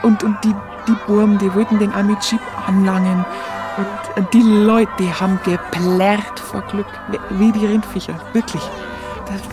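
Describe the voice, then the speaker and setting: An actress playing an earthy woman, recalling wartime Munich in halting, colloquial radio play speech. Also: medium pitch, fairly even intonation, music in the background, natural voice. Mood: excited. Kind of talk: naturalistic radio play reminiscence.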